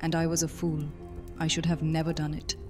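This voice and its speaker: A woman's voice: deep voice, completely flat delivery, brisk, natural voice. A woman playing a motherly vampire commander, fond and teasing, in an audio drama. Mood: wistful, fond. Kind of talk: immersive audio drama roleplay. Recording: music behind the voice.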